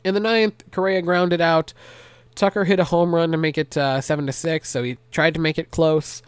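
A young man with a stuffy nose recapping a game for his channel, listing stats and giving his fans an animated pep talk from a small room at home. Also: fairly high pitch, normal speed, clear, resonant tone, no room sound. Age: young adult. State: congested, nasally stopped up. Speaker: sports recap youtuber. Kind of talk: solo game recap vlog. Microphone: large desk mic close to mouth.

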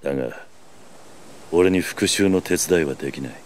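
A man's voice: low-pitched, slight background noise, natural voice. An actor playing a stoic, principled tough man in a video game drama, speaking tersely and firmly. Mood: stern, calm. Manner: terse, firm, measured. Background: background music.

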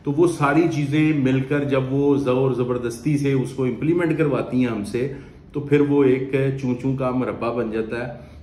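A man's voice: mid-range voice, steady tone, moderate pace, warm tone. A man in his forties with a Pakistani Urdu accent, seated at an office desk and earnestly explaining renovation advice to camera in a conversational way. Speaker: renovation company owner. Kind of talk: direct-to-camera advice talk. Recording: echoey room with faint ambience.

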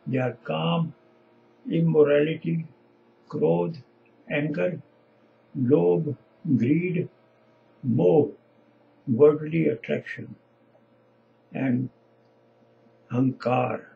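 A man in his seventies, a native Punjabi speaker with a Punjabi accent, preaching informally to camera in halting, emphatic short phrases. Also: mid-range voice, vocal fry, narrow, tinny audio, slight background noise. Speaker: lay preacher. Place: small indoor home office.

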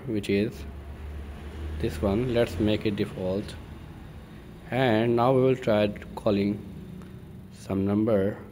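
A man presenting an informal step-by-step tech tutorial in a halting way, thinking aloud as he works. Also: lowish voice. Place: indoors at a computer desk. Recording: steady room noise.

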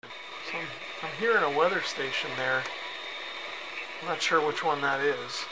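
A man giving a casual, low-key hands-on radio demonstration, musing aloud as he goes. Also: measured pace. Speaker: radio reviewer. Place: concrete-walled cellar. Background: shortwave radio noise.

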